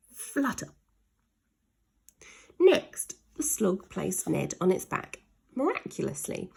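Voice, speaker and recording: A woman's voice: fairly low pitch, vocal fry, a little mellow, husky. A woman narrating a children's story aloud in a dramatic way, leaning hard on sound words. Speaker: storybook reader.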